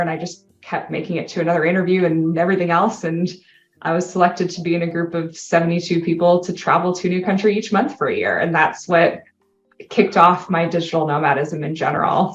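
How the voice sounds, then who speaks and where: Canadian woman, podcast guest, chatty and laughy, kinda echoey room, muffled sound. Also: lowish voice. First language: English. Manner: animated storyteller, laughs often. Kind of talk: casual interview conversation.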